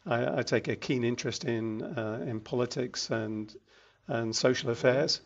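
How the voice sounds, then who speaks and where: Older British businessman interviewee, measured and unhurried, slightly muffled recording. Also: mid-range voice, gravelly. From United Kingdom. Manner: measured, frequent ums and self-restarts. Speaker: interviewee, regional executive. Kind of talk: casual interview.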